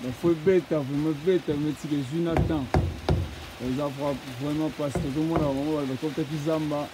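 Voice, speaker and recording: A man's voice: fairly high pitch, fairly even intonation, fairly quick, heavy background noise. A man gives a casual field report outdoors in open grassland. He films on a handheld phone and switches between Lingala and French, chatty and repeating words. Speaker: reporter presenting a building project.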